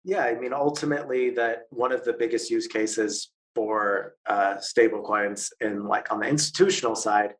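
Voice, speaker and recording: A man with a fairly low voice talks through a technical topic as an interview guest. He pauses often, stumbles over his words and circles back with fillers as he explains. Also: fairly even intonation, a bit bright. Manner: halting, many fillers and restarts. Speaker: interview guest, stablecoin expert.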